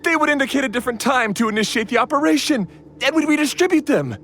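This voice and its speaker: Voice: sits high, expressive, quick pace, a bit bright, a little hiss or hum. A voice actor playing a nervous thief who explains everything and begs for mercy, in a game cutscene. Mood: anxious. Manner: anxious, pleading explanation.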